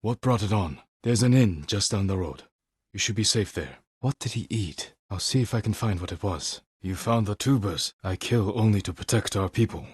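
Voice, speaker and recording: Honorable samurai warrior, low-pitched, grave, measured, protective, video game drama, close dry sound. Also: male voice, slightly rough, dry recording. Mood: measured, resolute. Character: stoic, honorable samurai warrior, adult man, solemn and protective. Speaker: voice actor playing a video game hero.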